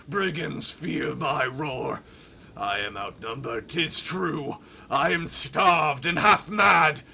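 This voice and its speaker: Weary vengeful warrior reading his game journal, solemn n dramatic, slow and forceful. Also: fairly high pitch, creaky, a little hiss or hum, heavily acted. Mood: defiant, vengeful. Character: grim, weary, vengeful lone warrior, defiant survivor.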